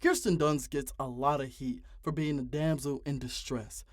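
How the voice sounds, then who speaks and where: A man's voice: mid-range voice, fairly even intonation, moderate pace, dry recording, studio quality. A man in his twenties narrating a ranting video essay, exasperated and profane, with heavy emphasis. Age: 20s.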